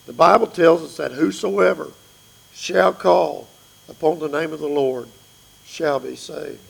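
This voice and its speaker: Male preacher, fifties, raspy and heavily gravelly, earnest pleading delivery. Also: a little high, measured pace, low-quality recording. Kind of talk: sermon.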